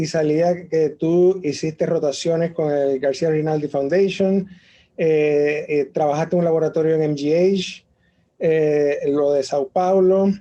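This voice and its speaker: A woman's voice: sits low, mellow, lo-fi sound. A woman hosting an interview, hesitant and repeating words as she asks questions over a video call. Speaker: host.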